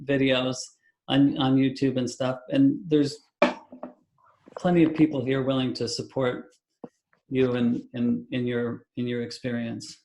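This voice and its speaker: A man leading a live webinar as a spiritual healer, talking calmly and reassuringly in spiritual terms over a video call. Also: medium pitch, a little slow, reverberant, a little hiss or hum, low-quality recording. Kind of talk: live webinar healing session.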